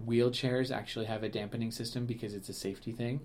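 A man's voice: mid-range voice, level tone, fast talker, a little mellow. Chatty man co-hosting a podcast. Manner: chatty and rambling, with frequent fillers, restarts and laughs. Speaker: podcast co-host, museum staff. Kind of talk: casual podcast chat. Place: Canada.

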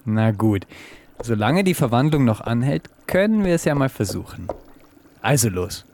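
A man's voice: mid-range voice, big pitch swings, moderate pace, darkish tone, a little hiss or hum. A man co-hosting a children's science podcast, performing as himself in a scripted audio play. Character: himself turned into a reluctant pink pig. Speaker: podcast co-host.

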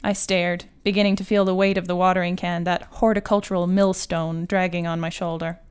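A woman writer reading her own literary short story in a measured, articulate and wry manner. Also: fairly low pitch, level tone, clean tone.

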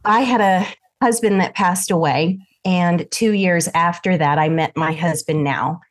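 Older woman, mixed American accent, animated storytelling, lowish voice. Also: unhurried. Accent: mixed American, Idaho and Texas. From southern Idaho, USA. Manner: chatty, animated, laughs often. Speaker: guest, lavender farm owner. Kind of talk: casual storytelling interview.

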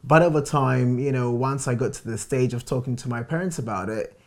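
A man's voice: mid-range voice, a little fast. Reflective man sharing his marriage story. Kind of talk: reflective personal testimony.

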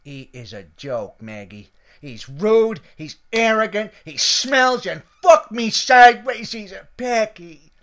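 A man's voice: mid-range voice, big pitch swings, unhurried. A man playing an irritable, foul-mouthed cook in an adult comedy sketch, blunt and grumbling. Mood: exasperated.

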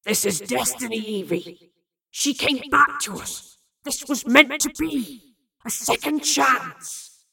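An embattled, obsessive man of science, played by a woman in an audio drama. The voice is strained high and hard-edged, growling with defiance, and sits a little way from the mic. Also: slow pace, distant mic, full character voice. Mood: fervent. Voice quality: strained, gravelly. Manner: forceful, defiant, screaming and growling.

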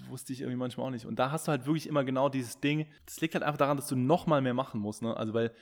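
Man co-host, mid pitch, rambly and filler-heavy, talking into a podcast mic. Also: very fast. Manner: rambling, many fillers and restarts. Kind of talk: casual chat. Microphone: podcast microphone.

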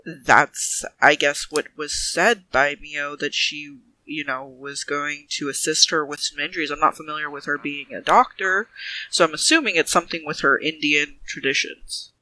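A man's voice: a little high, normal speed, sharp tone, no room sound, poor audio. A man playing a tabletop role-playing game, improvising casual dialogue with polite, hedging questions.

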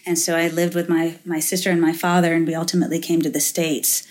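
A woman's voice: low voice, level tone, fast talker, darkish tone. A middle-aged woman from Finland, a venture capitalist guest in an interview, talking thoughtfully with many fillers and restarts. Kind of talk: interview conversation.

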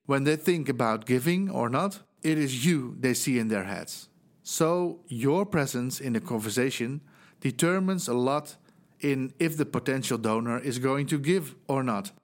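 A middle-aged man from the Netherlands with a Dutch accent, hosting a solo podcast and giving earnest, measured advice. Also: mid-range voice. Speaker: podcast host, fundraising trainer. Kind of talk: scripted solo podcast talk.

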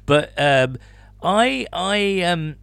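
A man's voice: high voice, animated, drawn-out words, gravelly, fairly bright tone, clean tone, no echo. A man co-hosting a sports podcast, chatting casually with colourful, colloquial turns of phrase. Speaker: podcast co-host.

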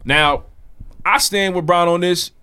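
A man's voice: sits high, unhurried, sharp tone, clean tone. A man co-hosting a podcast, telling a news story in loose slang with plenty of swearing. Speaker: podcast co-host. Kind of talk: casual chat.

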